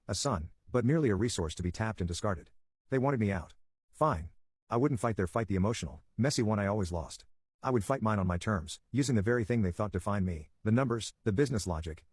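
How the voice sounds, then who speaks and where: A man's voice: lowish voice, darkish tone, slightly rough, dry recording. A man narrating a scripted first-person story in dramatic, punchy phrases.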